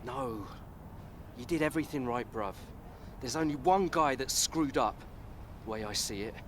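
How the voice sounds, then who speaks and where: A man's voice: mid-range voice, lively intonation, normal speed, close to an everyday voice. A young man acting a hurt, angry son in a radio soap, with faint street noise behind. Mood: bitter.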